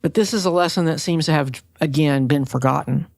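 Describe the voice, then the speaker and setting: A woman's voice: low-pitched, brisk, gravelly, darkish tone, no echo, clean studio sound. A woman talking conversationally as a podcast interviewer.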